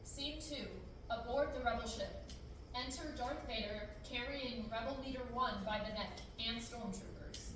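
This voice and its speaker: A woman's voice: fairly high pitch, normal speed. A woman reading stage directions aloud in a plain, matter-of-fact way at a table reading. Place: large classroom, indoors. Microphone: far camera. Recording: echoey room, far mic, some noise.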